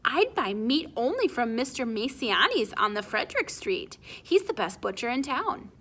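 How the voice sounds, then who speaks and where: A proud, bossy mother from a children's storybook , as a woman reader puts her on, quick to take offence. Her voice is high and sharp, with curt, emphatic phrasing. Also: very animated. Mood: offended.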